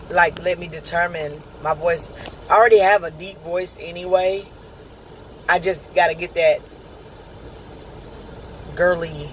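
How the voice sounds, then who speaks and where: Soft-spoken man giving a rambling update. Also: fairly high pitch, slight background noise, low-quality recording. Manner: rambling, halting with many pauses. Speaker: vlogger. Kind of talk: casual personal update.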